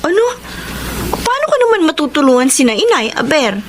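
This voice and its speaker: High-voiced feisty young Filipina conductor, noisy recording. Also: female voice, big pitch swings, fairly quick. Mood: earnest, casual. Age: young adult. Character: honest, feisty young woman working as bus conductor. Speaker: actress. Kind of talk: TV drama acting. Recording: noisy location sound.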